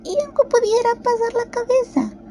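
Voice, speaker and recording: A woman's voice: extremely high pitch, sing-song, normal speed, noisy background, pitched above the performer's own voice, heavily acted. A woman voicing a curious, wistful little girl who wonders aloud to herself in a storybook radio drama.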